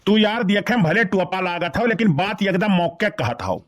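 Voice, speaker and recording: A man's voice: high-pitched, lively intonation, moderate pace. A man voicing an irritable, scolding village father in a comedy cartoon, with an Awadhi accent. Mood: dry, annoyed. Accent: Awadhi, eastern Uttar Pradesh.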